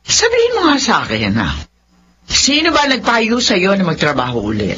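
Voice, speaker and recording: A woman's voice: mid-range voice, huge pitch swings, normal speed, a bit bright. A woman acting a fretful, protective middle-aged mother in a melodrama, with a Filipino accent. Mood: stern worry. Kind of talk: melodramatic drama dialogue. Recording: muffled, hissy recording.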